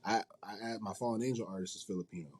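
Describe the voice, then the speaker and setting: A man's voice: on the low side, fast talker, darkish tone, slightly rough. A man speaking as an interview guest in an informal, slangy, conversational way. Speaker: manga company CEO, interview guest. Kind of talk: casual interview chat.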